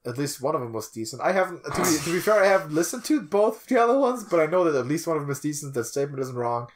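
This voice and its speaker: A man's voice: on the high side, big pitch swings, rapid-fire, a little hiss or hum. A young man hosting a podcast, chatting informally and teasing in a small room. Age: young adult. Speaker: podcast host. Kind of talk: casual podcast chat. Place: small attic room, indoors.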